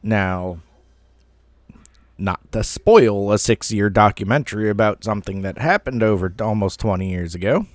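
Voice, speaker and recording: A man's voice: on the low side, normal speed, no room sound. A man in his thirties telling a personal story casually, in a rambling, self-deprecating way with some mild swearing. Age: 30s. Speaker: online storyteller.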